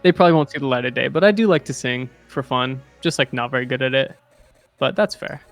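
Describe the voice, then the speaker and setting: A man's voice: medium pitch, quick pace, vocal fry, faint music behind. Chatty man who keeps saying "dude". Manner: chatty, says "dude" and "like" a lot. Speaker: music producer. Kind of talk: casual chat on a livestream.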